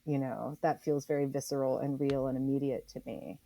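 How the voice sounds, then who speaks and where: An American woman from Oregon explaining her work as an interview guest in a reflective, conversational way, emphatic and often pausing to think aloud. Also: rumbling low, fairly even intonation, vocal fry, dark tone, no echo, rough recording. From Oregon, United States. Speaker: interview guest, author and geologist.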